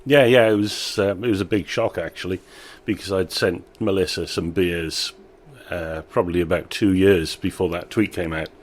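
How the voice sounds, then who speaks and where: Deep-voiced brewer, laughing easily. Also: male voice, moderate pace. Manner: relaxed, self-deprecating, laughs often. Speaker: brewery owner. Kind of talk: casual interview chat. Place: small home brewery.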